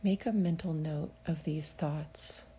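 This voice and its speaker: A woman leading a scripted guided meditation as a psychologist, speaking in a soothing, measured, gently instructive manner. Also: low voice, fairly even intonation, lo-fi sound, a little hiss or hum.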